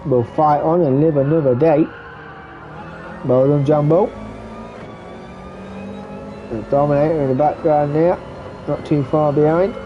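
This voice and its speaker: A man giving relaxed running commentary on a sim race, stuffy and muffled from a cold. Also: a little high, unhurried, long pauses. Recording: muffled lo-fi recording with background noise.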